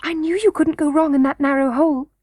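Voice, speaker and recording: A woman's voice: high-pitched, steady tone, fairly quick, darkish tone, dry recording, studio quality, pitched above the performer's own voice, lightly acted. A woman voicing an eager, trusting little princess girl, earnest and breathless, in a children's storybook reading. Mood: confident.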